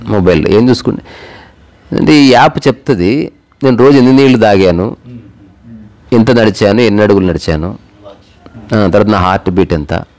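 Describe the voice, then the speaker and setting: A man's voice: lowish voice, darkish tone. A man tells moral stories and personal anecdotes in a casual, conversational way, acting out bits of dialogue and mixing in English words. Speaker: storyteller.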